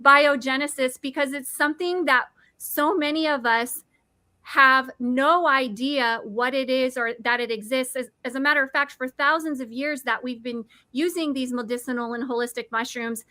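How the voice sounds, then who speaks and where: A woman's voice: sits high, fairly even intonation, normal speed, pushed and bright, dry recording, clean studio sound. A woman in her forties enthusiastically explaining mushroom supplements, eager and nerdy, often restarting words. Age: 40s. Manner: eager, nerdy, self-correcting. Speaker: product presenter.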